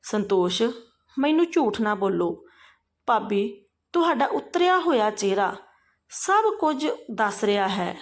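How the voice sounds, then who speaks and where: A woman's voice: mid-range voice, big pitch swings, slow pace. A woman voicing a caring, perceptive and persistent sister-in-law in a family story, earnestly questioning and advising. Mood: probing, insistent.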